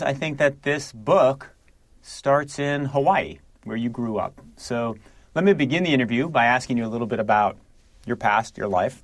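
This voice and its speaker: A man interviewing an author, asking questions in a friendly, structured way. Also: mid-range voice.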